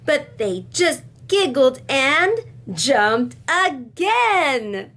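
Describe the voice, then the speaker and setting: A woman teacher reading a picture book aloud expressively and explaining it to children, with deliberate phrasing and questions. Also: high-pitched, sing-song, a bit bright.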